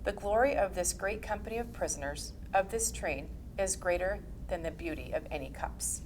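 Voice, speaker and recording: A woman's voice: fairly low pitch, normal speed. Woman reading formally, faint background noise. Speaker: reader. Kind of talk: scripted reading of historical religious text.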